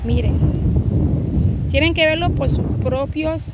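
A woman's voice: high-pitched, slow pace. A Puerto Rican woman chatting casually as she vlogs outdoors on a phone, admiring things and often repeating her phrases. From Puerto Rico. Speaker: travel vlogger and local travel agent. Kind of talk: casual live walking chat. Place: open beach by the sea, outdoors. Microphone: handheld phone. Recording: windy outdoor phone recording, loud noise.

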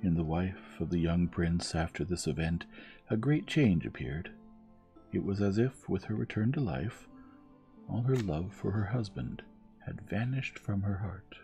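A man reading a storybook tale as gentle, measured bedtime narration, soft and soothing. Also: deep voice, gravelly. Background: music.

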